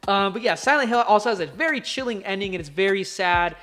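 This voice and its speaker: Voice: mid-range voice, expressive, fast talker, a bit bright. An enthusiastic, chatty video host giving casual, emphatic film commentary in a countdown format. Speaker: YouTube host reviewing films. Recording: music playing behind the voice. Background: background music.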